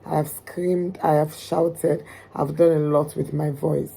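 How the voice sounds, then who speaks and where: A woman from Sierra Leone with a hoarse voice, speaking earnestly and emphatically about politics, with frequent ums. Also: low-pitched, flat delivery, measured pace, dark tone. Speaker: political commentator. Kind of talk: direct-to-camera opinion talk.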